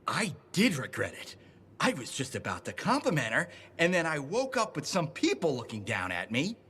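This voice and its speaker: A man's voice: a little high, wildly swooping pitch, normal speed, pushed and bright, husky, exaggerated voice. An actor playing a clueless, over-friendly, shameless man in a video game, rambling casually and sure of himself. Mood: boastful, storytelling. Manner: rambling, casual, self-assured. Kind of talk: video game character dialogue.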